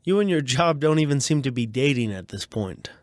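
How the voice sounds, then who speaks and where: A man's voice: medium pitch, expressive, brisk, darkish tone, dry recording. A man co-hosting a radio show in scripted comedic banter, nitpicking and delivering dry quips.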